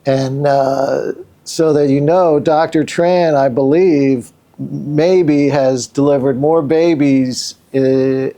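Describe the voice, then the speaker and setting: Middle-aged American man, dark mellow voice. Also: mid-range voice, steady tone. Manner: halting, repeats words. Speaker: podcast co-host, company president. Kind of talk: conversational podcast hosting.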